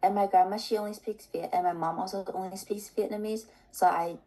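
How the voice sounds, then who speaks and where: A nineteen-year-old woman from Seattle answering interview questions in a relaxed, soft-spoken way, saying "like" often. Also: mid-range voice, fairly quick. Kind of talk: casual conversation.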